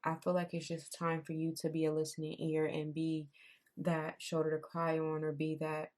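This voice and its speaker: A young woman giving a casual tarot reading. She talks in a loose, repetitive way, and her voice has gone raspy. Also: deep voice, completely flat delivery, moderate pace, a little mellow. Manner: conversational, repeats herself, says "I'm seeing" often. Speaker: tarot reader.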